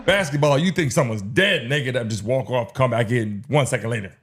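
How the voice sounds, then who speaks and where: A man's voice: medium pitch, brisk, a bit bright, faint music behind. A man hosting a sports watch-along livestream, talking in a hyped, slangy, repetitive way as he urges the chat on. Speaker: livestream host.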